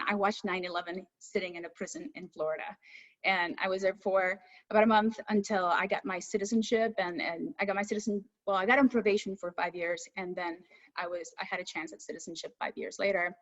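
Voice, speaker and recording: A woman's voice: mid-range voice, dull audio. A woman from Cuba, a native Spanish speaker, answering as an interview guest in a candid, conversational way. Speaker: astrophysicist guest.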